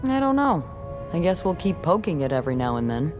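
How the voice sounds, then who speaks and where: An actress playing a wry, warm, teasing young hotel receptionist in a video game, with an expressive, conspiratorial way of talking. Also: female voice, deep voice, fairly quick, faint music behind. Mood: relaxed, wry. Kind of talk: naturalistic video game acting.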